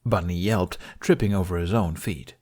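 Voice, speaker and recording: A man's voice: deep voice, moderate pace, dark tone. A man reading a scripted story aloud as its narrator. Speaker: fan fiction podcast narrator.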